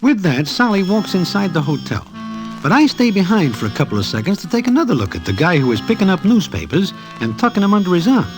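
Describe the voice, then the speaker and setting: An actor playing a wry, streetwise Broadway storyteller who narrates in mannered present-tense slang, in 1940s radio style. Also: male voice, high-pitched, sing-song, quick pace, music in the background, poor audio. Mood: wry, storytelling. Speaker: narrator and character.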